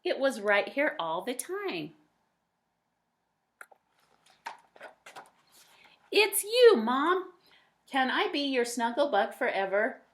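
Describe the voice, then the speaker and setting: A woman's voice: high voice, very animated, a little slow, fairly bright tone, pitched above the performer's own voice, exaggerated voice. A woman voicing an imaginative, boastful little boy from a storybook, declaring his dress-up adventures emphatically. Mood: affectionate, excited. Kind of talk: children's storybook character reading.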